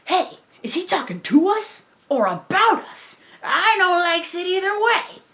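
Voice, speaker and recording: A woman's voice: very high-pitched, sing-song, a little slow, fairly bright tone, voice pushed up, full character voice. A woman voicing a big, dim, belligerent male thug in a cartoon, with slangy, ungrammatical tough-guy talk. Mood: suspicious, menacing.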